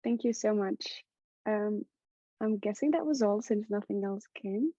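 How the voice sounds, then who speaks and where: A woman's voice: medium pitch, measured pace, mellow, no echo. A woman moderating a webinar, politely reading out a speaker's introduction over a video call. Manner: polite, reads a speaker bio. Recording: dry muffled call audio.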